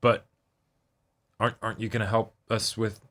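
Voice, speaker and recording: A man's voice: lowish voice, little pitch movement, moderate pace, a bit bright. A man co-hosting a reaction show, reasoning reflectively and rephrasing himself in casual conversation. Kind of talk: casual discussion of an anime episode.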